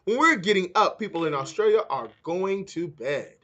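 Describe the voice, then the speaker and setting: A man's voice: high-pitched, expressive, moderate pace, bright, forceful tone. A man voicing an encouraging, instructive male schoolteacher in a children's storybook.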